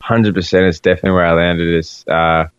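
A man's voice: low-pitched, fairly even intonation, fairly quick, clean tone, dry recording. An Australian man in his twenties, a musician chatting casually as a podcast guest, on slightly lo-fi audio. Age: 20s. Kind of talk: casual chat.

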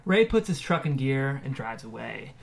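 A man reading scripted screenplay narration and stage directions in a measured way. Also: mid-range voice, sing-song, gravelly.

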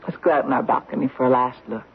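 An actress playing a playful, romantic young newlywed wife in an old radio drama. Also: female voice, very deep voice, steady tone, racing. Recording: muffled old radio broadcast with hiss.

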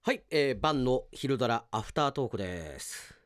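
A man's voice: medium pitch, animated, normal speed, dry recording, clean studio sound. A man hosting a solo radio show, chatting in a self-deprecating way and reading out listener mail like an announcer. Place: radio studio.

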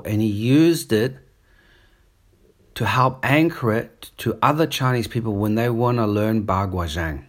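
A man with a New Zealand accent who talks in a casual, rambling monologue and often drifts off topic. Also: on the low side. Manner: rambling, digressive, conversational. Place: on holiday up the coast in Australia.

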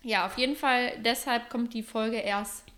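A woman's voice: a little high, fairly even intonation, unhurried, fairly bright tone. A woman co-hosting a podcast in casual, colloquial and reactive chat. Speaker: podcast co-host.

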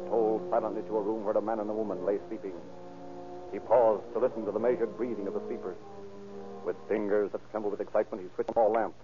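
Old time radio announcer guy, formal scripted delivery, very declamatory, thin tinny recording. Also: fairly low pitch. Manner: formal, declamatory, carefully enunciated. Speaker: announcer and narrator.

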